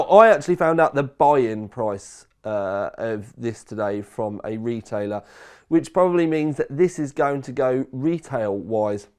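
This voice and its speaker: Croaky man chatting through a review. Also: medium pitch, a little mellow. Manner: rambling, conversational, self-correcting. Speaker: tech reviewer, channel host. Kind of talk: casual chatty product review.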